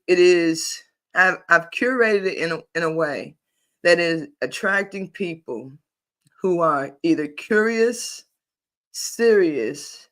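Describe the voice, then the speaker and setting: High-pitched guy on a computer mic, running a live spiritual group session, emphatic and folksy, lots of repeats and little laughs. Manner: animated and emphatic, with easy laughs and rhetorical questions; repeats and restarts while building a point, informal and folksy. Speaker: host of a paid spiritual membership group. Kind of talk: casual spiritual talk on a live online session.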